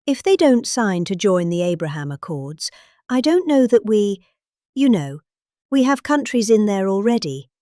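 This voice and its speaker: A middle-aged woman with a British accent giving a deadpan comic reading, pompous, with pointed pauses and heavy emphasis. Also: medium pitch, dry recording, clean studio sound. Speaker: comic reader.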